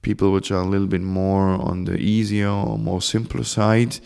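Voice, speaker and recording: A man's voice: deep voice, moderate pace, gravelly, a little mellow, smooth. A German man speaking English with a German accent, as a guest in a casual interview, rambling and searching for words. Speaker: guest, community founder.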